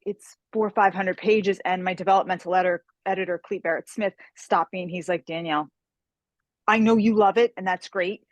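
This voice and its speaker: A woman's voice: medium pitch, dry recording. A woman guest talking about her work as a fantasy author, chatty and enthusiastic, on a video call. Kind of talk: casual interview conversation.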